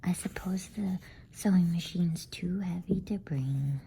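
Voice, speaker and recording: A woman's voice: fairly low pitch, a little slow, gravelly, warm tone, a little hiss or hum. A woman voicing a fussy, practical, motherly beaver housewife in a whispered bedtime storybook reading. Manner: coolly practical, homely.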